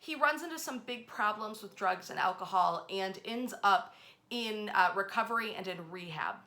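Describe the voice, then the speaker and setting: Bright-voiced woman telling history stories. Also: medium pitch, lively intonation, normal speed. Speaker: host, local history storyteller. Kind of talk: informal history storytelling.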